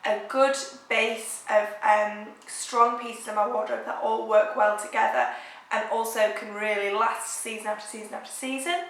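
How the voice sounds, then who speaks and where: A woman's voice: fairly high pitch, fairly bright tone. A young woman with a head cold, chatting casually to camera about fashion with lots of "um" and "like". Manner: chatty, with frequent "um" and "like". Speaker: fashion vlogger. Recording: echoey room.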